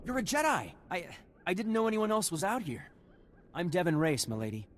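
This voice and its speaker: An actor playing an earnest, deferential young Jedi explorer in a video game. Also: male voice, sits high, very animated, brisk. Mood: startled. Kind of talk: video game character acting.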